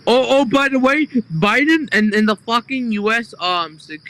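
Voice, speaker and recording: Excitable guy on a discord call, high bright voice. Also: normal speed. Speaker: call guest. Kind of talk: casual group voice chat.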